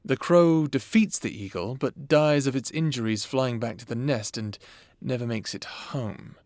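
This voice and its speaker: A man's voice: medium pitch, darkish tone, no room sound, close to an everyday voice. Man playing a formal therapist, very dry sound. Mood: reflective, clinical. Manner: measured, clinical, formal diction. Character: patient, formal male government therapist. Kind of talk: cinematic audio roleplay acting, addressing the listener. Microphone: close, dry studio mic.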